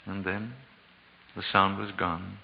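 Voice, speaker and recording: A man narrating a 1940s radio drama in a solemn, theatrical, measured way with dramatic pauses. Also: sits low, level tone, vocal fry, background noise, heavily acted. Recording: muffled 1940s radio recording. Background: music.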